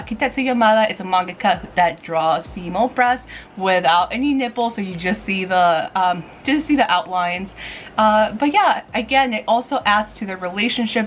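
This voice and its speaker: A woman's voice: a little high, a bit bright, slight background noise. A woman giving casual, chatty recommendations as a video host, with an enthusiastic, gushing, informal way of talking. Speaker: manga reviewer and video host.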